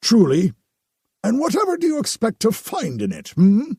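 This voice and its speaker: A man's voice: wildly swooping pitch, normal speed, dark tone, slightly rough, no echo, studio quality, exaggerated voice. A man voicing a nervous, self-important male priest who talks in a fawning, pompous way. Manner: fawning and pompous, rising to shrill insistence.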